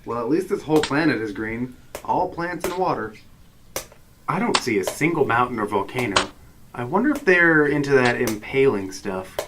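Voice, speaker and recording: An actor playing the exasperated, sarcastic voice of reason in a hero's head in a comedic radio drama. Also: male voice, medium pitch, expressive, moderate pace, close to an everyday voice. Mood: wry. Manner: sarcastic, wry, worrying. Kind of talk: comedic sci-fi radio drama narration and dialogue. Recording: clear background noise.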